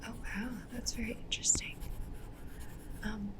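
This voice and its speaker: A woman whispering softly in an ASMR roleplay as a polite, attentive matchmaker, with a breathy voice and gentle, unhurried questions. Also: fairly high pitch, flat delivery. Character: polite, attentive female cyberpunk matchmaker interviewing a client. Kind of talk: soft-spoken whispered ASMR roleplay interview. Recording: clear ambient noise behind voice. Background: sci-fi ambience, writing sounds.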